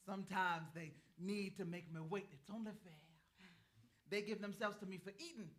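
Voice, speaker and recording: A woman's voice: mid-range voice, normal speed, fairly bright tone, natural voice. A woman playing a sly, earthy, ageless river woman in a staged play reading, with a folksy, teasing, knowing way of talking. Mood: knowing, scolding. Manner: folksy, teasing, chuckling drawl of a wise elder.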